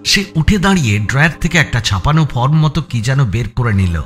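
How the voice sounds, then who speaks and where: A man's voice: medium pitch, sing-song, a little fast, a bit bright. A man reading a scripted thriller as narrator, with a suspenseful, theatrical delivery. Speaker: story narrator. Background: background music.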